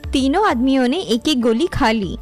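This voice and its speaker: A woman's voice: sits high, expressive, normal speed. A woman narrating a scripted moral story as a storyteller. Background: music behind the voice.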